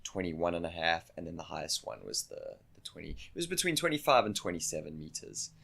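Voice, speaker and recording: A young South African man speaks as a guest on a podcast, with a very low, gravelly voice. He is relaxed and laughs easily, and fills his answers with a lot of "yeah". His South African accent is plain. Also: a little fast. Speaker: podcast guest, circus acrobat. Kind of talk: casual interview chat.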